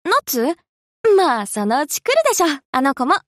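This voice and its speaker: A woman's voice: high-pitched, very animated, fairly quick, no room sound, studio quality. A woman playing an easygoing, slangy young navy destroyer girl in an anime game, dutiful but fond of rest. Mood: breezy, casual. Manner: casual slangy girlish speech. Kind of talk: Japanese anime game character voice lines.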